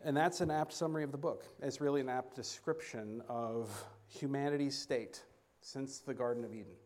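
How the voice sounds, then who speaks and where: A man preaching a sermon as a guest speaker, halting and often repeating words, with self-deprecating asides. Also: mid-range voice, a little mellow.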